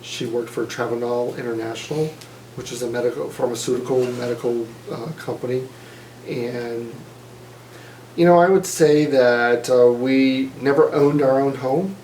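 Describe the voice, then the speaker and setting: Casting: man in his fifties from Mississippi, mellow dark voice, measured oral-history storyteller, echoey room with faint noise. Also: medium pitch, steady tone. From Mississippi, USA. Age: 50s. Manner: measured, thoughtful, many fillers and restarts. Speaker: interviewee.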